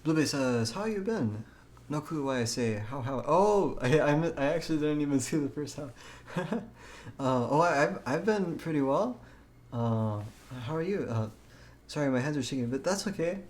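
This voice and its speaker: A man's voice: fairly high pitch, animated, normal speed, darkish tone. A man live streaming in a casual way, chatting and reading a game aloud with a hesitant, stammering delivery that often repeats words.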